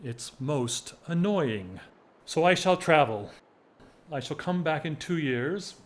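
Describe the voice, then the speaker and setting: A middle-aged English gentleman in a period radio play, lovesick and whimsical. His voice sits fairly high for a man and moves very slowly, with measured, theatrical phrasing and wry self-mockery. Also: animated. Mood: exasperated devotion. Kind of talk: period audio drama acting.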